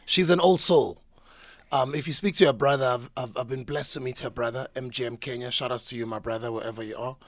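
A man's voice: medium pitch, steady tone, fast talker, no echo. Male DJ guest, effusive and generous with praise, mid-range voice, dry booth. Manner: warm praise and shout-outs to friends. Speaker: DJ and producer guest. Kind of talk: casual interview chat.

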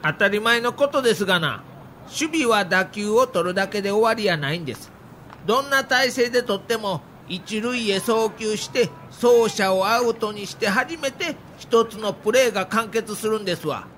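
An actor playing a fiery veteran baseball manager with a Kansai accent, instructing emphatically in an audio documentary drama. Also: male voice, sits high, animated, moderate pace, fairly bright tone. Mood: matter-of-fact. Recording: clear outdoor background noise.

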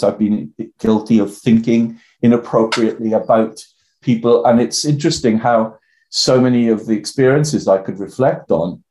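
An older Welsh man from the South Wales Valleys, talking as a guest in a reflective, halting way with many restarts. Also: lowish voice, dark tone. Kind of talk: reflective personal interview talk.

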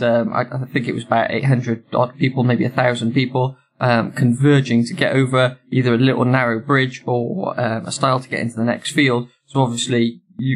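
Sniffly man hosting a podcast. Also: mid-range voice. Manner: rambling, self-correcting, many ums and uhs. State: sniffly with a cold. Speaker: podcast host. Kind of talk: solo podcast monologue.

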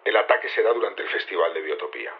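An actor playing a composed team leader, explaining methodically and politely in an audio drama. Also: male voice, low voice, sing-song, fast, slight background noise. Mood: composed, businesslike. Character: composed male leader briefing his conspirators, methodical. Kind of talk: naturalistic audio drama acting. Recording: slightly dull radio-like sound.

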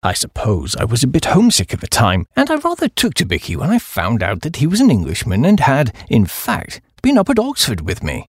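A man voicing a flighty, excitable young upper-class English gentleman in comic audiobook narration, with breezy, slangy, exclamatory delivery. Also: medium pitch, fairly quick, hardly any pauses, slightly rough, dry recording, clean studio sound. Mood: surprised, chatty. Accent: British upper-class. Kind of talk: first-person comic audiobook narration in character.

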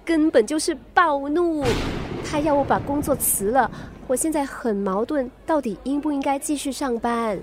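A female actor plays a teenage daughter in a radio drama. She sounds headstrong and troubled, with a Singaporean accent. Her voice is pitched high. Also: moderate pace, natural voice. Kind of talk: Mandarin radio drama acting.

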